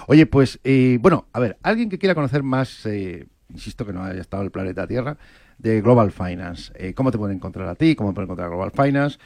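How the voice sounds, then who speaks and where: Fast-talking jokey Spanish-speaking male host. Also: lowish voice, expressive, darkish tone, dry recording. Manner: jokey, theatrical, emphatic exclamations. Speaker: podcast host. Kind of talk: playful interview chat.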